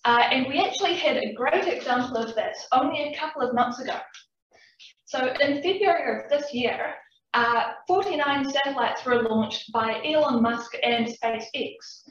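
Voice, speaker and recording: A woman's voice: sits high, moderate pace. A young woman with a New Zealand accent, giving a friendly public science talk to a live audience. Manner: friendly, chatty, addresses audience directly. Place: large echoey lecture room. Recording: echoey, distant, dull room recording.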